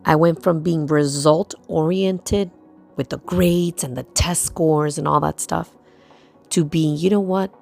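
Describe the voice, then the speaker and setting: Low-pitched woman with a gravelly edge. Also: steady tone, slow, darkish tone. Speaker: homeschooling mother and video host. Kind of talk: scripted-sounding narration for a vlog. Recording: music playing behind the voice.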